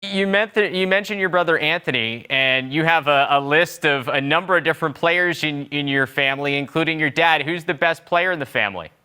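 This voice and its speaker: A male sports show host with an upbeat, conversational delivery. His voice sits a bit high, bright and crisp, and moves easily between questions and segment wrap-ups. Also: fairly quick, few pauses. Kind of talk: sports talk show hosting.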